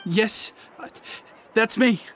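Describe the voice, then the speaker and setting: A woman's voice: a little high, slight background noise. A woman playing a timid, frightened culprit in a video game, hesitant and stammering. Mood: nervous, guilty. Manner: hesitant, halting, nervous.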